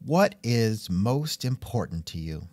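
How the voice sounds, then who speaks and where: A man's voice: on the low side, very animated, takes it slowly, a little mellow, no room sound, studio quality. A man hosting an interview in a casual, musing way, with wry asides and thoughtful hmms. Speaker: podcast host and interviewer. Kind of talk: casual scripted interview chat.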